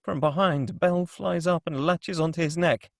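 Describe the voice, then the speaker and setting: A man's voice: a little high, normal speed, gravelly, no echo, studio quality. A man reading scripted stage directions as the narrator of a screenplay table read.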